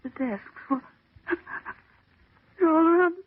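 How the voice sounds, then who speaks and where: A woman's voice: very high voice, slow pace, a little hiss or hum. An actress playing a frightened office woman fighting to keep control, urgent and pleading, in an old-time radio horror drama. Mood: panicked. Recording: tinny, muffled vintage radio recording.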